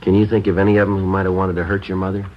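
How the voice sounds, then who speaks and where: An actor playing a calm, matter-of-fact male police detective in an old radio drama, speaking in a clipped, level way. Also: sits low, racing, close to an everyday voice. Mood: gentle, patient. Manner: clipped, level, gentle with the boy. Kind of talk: 1950s radio drama acting. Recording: dull lo-fi old radio broadcast with hiss.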